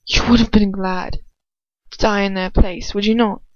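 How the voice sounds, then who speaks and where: A woman's voice: mid-range voice, fairly even intonation, normal speed, close to an everyday voice. A woman voicing a cruel, proud, hot-tempered aristocratic wizard, bitter and venomous, in a storybook reading. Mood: grim, intense. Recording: dull, lo-fi, dry home recording.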